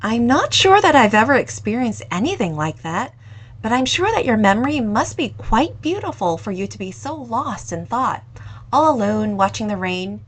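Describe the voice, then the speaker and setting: A woman reading the part of a sweet, curious young cafe owner who teases gently and eagerly. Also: fairly high pitch, sing-song, normal speed, slightly rough, low-quality recording. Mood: playful. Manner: warm, teasing, eager.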